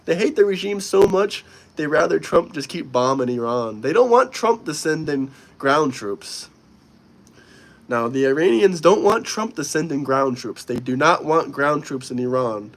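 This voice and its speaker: A man's voice: a little high, animated, measured pace, creaky. A young man hosting a live stream, casually and bluntly answering viewer comments while a little under the weather. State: slightly sick. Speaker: livestream host.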